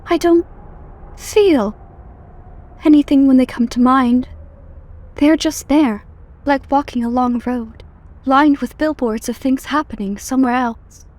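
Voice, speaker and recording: A woman's voice: sits high, a little slow, a little mellow. A woman voicing a sinister, obsessive robot girl who yearns for a father's love, delivered as a dramatic comic dub monologue. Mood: cold detachment.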